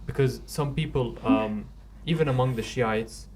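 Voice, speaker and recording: A man hosting a podcast, asking questions in a measured, hesitant way with many "uh"s. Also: mid-range voice, darkish tone, slight background noise, poor audio. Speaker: podcast host. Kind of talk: casual interview.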